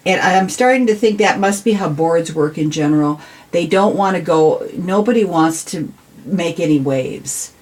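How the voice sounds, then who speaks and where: Woman, American, deep-voiced, earnest local-politician type explaining things conversationally. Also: moderate pace, darkish tone. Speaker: city council member, podcast guest. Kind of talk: conversational interview.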